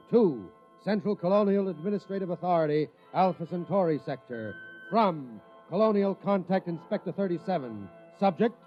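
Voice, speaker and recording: An actor playing a formal colonial space inspector dictating a clipped official report in a 1950s radio drama. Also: male voice, fairly high pitch, close to an everyday voice. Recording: dull old radio recording with music.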